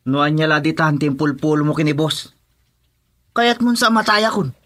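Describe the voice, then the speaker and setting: A defiant gangster's henchman in a radio drama. His voice sits a little high for a man as he bickers. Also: expressive, moderate pace, bright, forceful tone. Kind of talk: Ilocano radio drama acting.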